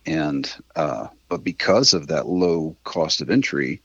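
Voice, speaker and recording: A middle-aged man with a husky voice, chatting candidly and casually as an interview guest, rambling a little and swearing mildly. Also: very deep voice, expressive, slow, fairly bright tone, no room sound, highs cut off. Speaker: interview guest, independent musician.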